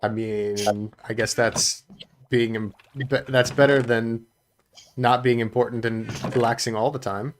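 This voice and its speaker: A relaxed man chatting while gaming with friends, dry in tone and fond of explaining how things work. His pitch is middling and faint noise sits behind his home mic. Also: measured pace. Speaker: channel host, gamer. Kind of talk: casual chat while playing.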